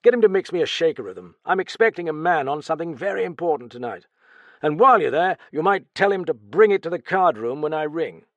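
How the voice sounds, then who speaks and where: Picture a male reader doing an eager young Frenchman, rushing his words with excitement. The voice sits high and bright above the reader's own. It is close and dry. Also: no room sound, muffled recording.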